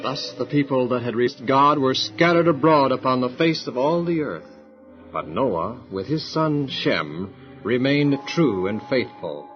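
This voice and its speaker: A man's voice: medium pitch, expressive, a little slow. A man narrating a Bible audio drama in a formal, solemn storytelling style. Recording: dull lo-fi recording with music. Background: dramatic music.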